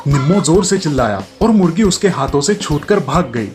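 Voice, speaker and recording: A man narrates a scripted children's tale as the storyteller. His voice sits a little high for a man. Light music runs behind him. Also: expressive, a little fast.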